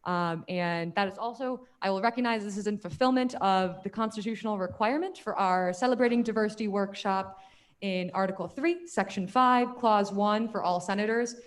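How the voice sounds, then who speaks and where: A woman's voice: mid-range voice, normal speed. A young woman chairing a student government meeting, effusive and upbeat, heaping praise on speakers. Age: young adult.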